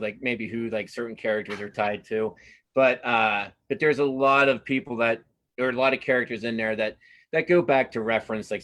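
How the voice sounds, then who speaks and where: A man with a fairly low voice talks casually as a guest in an interview. He rambles a little, restarting and repeating phrases as he works out his answers. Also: fairly bright tone. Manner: halting, rambling, self-correcting with repeated phrases. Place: live online video conversation.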